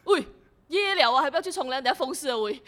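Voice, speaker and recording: A woman's voice: sits high, animated, quick pace, fairly bright tone. A woman with a Malaysian accent playing a brash, bossy but caring partner in an amateur audio drama, blunt and slangy. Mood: scolding.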